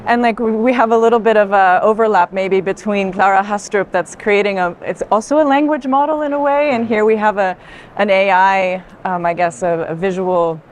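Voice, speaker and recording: A woman's voice: mid-range voice, normal speed. A woman giving reflective, explanatory art commentary in conversation, recorded in a busy fair hall. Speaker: art institution director on a fair tour. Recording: clear background noise of a busy venue.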